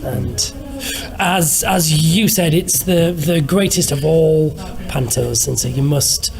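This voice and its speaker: A man who performs in pantomime, giving casual interview answers in a measured, pausing way. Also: a little high, vocal fry, music in the background.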